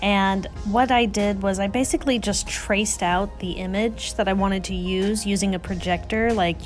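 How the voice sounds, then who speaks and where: Chatty woman narrating crafts over music. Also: mid-range voice. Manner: chatty, self-deprecating. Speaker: craft vlogger, host. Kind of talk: casual craft tutorial voice-over. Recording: music under the voice.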